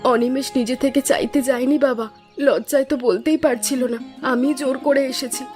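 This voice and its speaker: A worried young married daughter in a cartoon story, voiced by a woman, earnest and pleading. Her voice is high and bright-toned. Light music runs underneath. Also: fairly even intonation, normal speed, natural voice. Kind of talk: cartoon voice acting.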